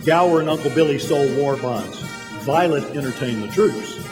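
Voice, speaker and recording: An actor playing a senior angel who recounts a life story with authority in a radio drama. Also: male voice, mid-range voice, lively intonation, normal speed, close to an everyday voice. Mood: storytelling, solemn. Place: large church hall. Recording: echoey hall, music behind.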